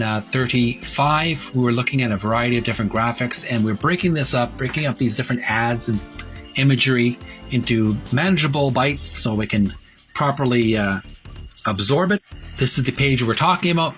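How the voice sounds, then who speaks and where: A man hosting a retro gaming video, talking casually in a halting, wordy way, rephrasing himself as he shows and reads printed material. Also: medium pitch, fairly bright tone. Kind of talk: casual narrated show-and-tell, reading printed ad copy aloud.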